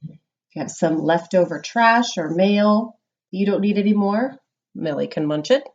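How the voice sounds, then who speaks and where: A woman teacher giving a read-aloud lesson to young children, explaining simply and reading with expressive emphasis. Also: medium pitch, unhurried, muffled recording. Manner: instructional, simple explanations with check-ins. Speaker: primary school reading teacher.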